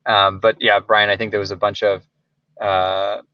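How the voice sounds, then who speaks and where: Male webinar presenter, informal and hesitant, crisp-edged bright tone, close dry sound on slightly lo-fi audio. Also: lowish voice, level tone, moderate pace, no room sound. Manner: informal, hesitant phrasing with frequent "like". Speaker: software company presenter. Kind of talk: webinar presentation, explanatory talk.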